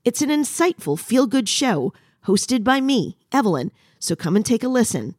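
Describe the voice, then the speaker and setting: A woman's voice: a little high, big pitch swings, normal speed, darkish tone, husky, dry recording, studio quality. A woman podcast host reading a scripted promotional spot for a show.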